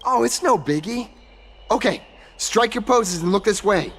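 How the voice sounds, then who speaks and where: An actor playing an excitable, bashful young explorer in a video game, stammering eagerly as he talks. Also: high voice, a little slow, slightly rough, full character voice. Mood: eager, boastful. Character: excitable, bashful young male would-be explorer of a holy city. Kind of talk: video game character acting.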